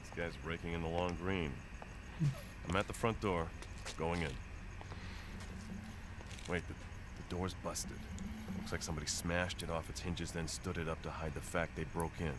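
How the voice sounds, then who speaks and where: An actor playing a gritty male cop reporting tersely and haltingly from a crime scene in an audio drama. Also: sits low, flat delivery, background noise, natural voice. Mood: wary. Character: gritty male cop radioing in from a wrecked house. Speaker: police investigator in an audio drama game. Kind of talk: radio drama acting, field report.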